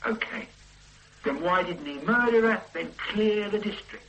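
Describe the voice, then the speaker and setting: A stubborn police detective in a radio drama, probing and doubtful as he turns a case over. His voice is fairly high and bright, swinging through a lively range. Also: measured pace, exaggerated voice, low-quality recording. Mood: musing.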